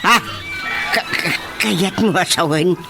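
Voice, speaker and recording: A woman's voice: fairly low pitch, slow pace, vocal fry. A woman playing a startled, disbelieving character in a comic radio drama, exclaiming and stammering, with an Ilocano accent. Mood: flustered. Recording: radio drama under loud music.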